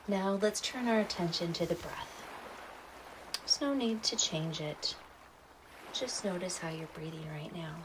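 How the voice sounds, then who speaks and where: Female meditation guide, lowish voice, unhurried and soothing, short instructional phrases, clear ambient noise behind.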